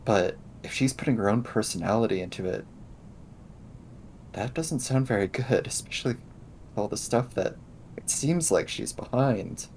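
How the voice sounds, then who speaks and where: A man improvising in-character conversation in a tabletop roleplaying game, explaining things in a hesitant, halting way. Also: fairly low pitch, darkish tone, a little hiss or hum.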